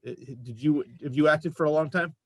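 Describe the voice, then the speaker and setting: A man's voice: on the high side, fairly quick, no room sound. A man hosting a casual interview, talking in an encouraging, complimentary way. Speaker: show host, interviewer.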